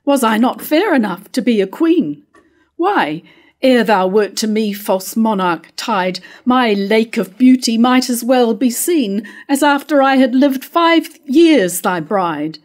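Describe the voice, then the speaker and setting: A woman's voice: fairly high pitch, lively intonation, slow pace. A middle-aged woman playing a proud, bitter discarded royal wife, declaiming verse in a staged reading. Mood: bitter, indignant. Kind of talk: declaimed early modern verse monologue. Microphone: stand mic at lectern.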